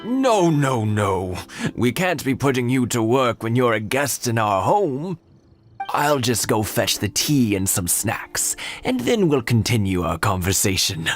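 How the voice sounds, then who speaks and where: A man's voice: medium pitch, sing-song, measured pace, soft background music. An actor voicing a polite, hospitable elderly village man in a game. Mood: insistent. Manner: courteous, hospitable. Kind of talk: game voice acting.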